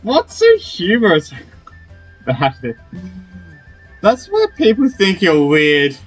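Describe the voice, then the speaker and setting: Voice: mid-range voice, wildly swooping pitch, takes it slowly, husky, low-quality recording. A gamer giving casual, informal playthrough commentary and reading dialogue aloud, reacting as the game goes. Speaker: gamer playing through a story quest. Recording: game music behind the voice. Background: game music and dialogue.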